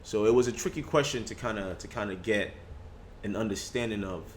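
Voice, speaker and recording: A man's voice: fairly low pitch, a little fast, a little hiss or hum. A young man talking in a casual podcast discussion, reflective and full of restarts. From Nigerian family background. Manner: reflective, with frequent restarts and "you know".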